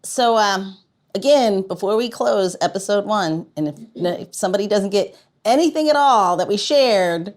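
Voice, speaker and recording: Expressive woman podcast host with lively pitch. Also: moderate pace. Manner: playful, expressive. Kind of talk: lively podcast banter and show announcements.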